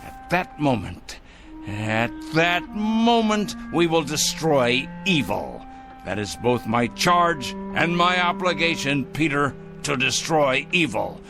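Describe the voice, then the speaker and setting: An actor playing a petulant, sanctimonious vigilante in a theatrical radio drama, ranting and muttering with drawn-out emphasis. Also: male voice, a little high, big pitch swings, creaky, music in the background, heavily acted. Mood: fanatical scheming. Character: petulant self-appointed vigilante, fanatical, sanctimonious and suspicious.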